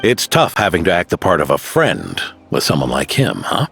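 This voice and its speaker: A low, deep voice belonging to an old knight, gentle and weary. His pitch moves expressively as he reflects and sighs through this game scene. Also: huge pitch swings, moderate pace, vocal fry, soft background music, heavily acted. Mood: reflective. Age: older. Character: aging veteran knight, weary and kindly. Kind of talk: video game cutscene dialogue.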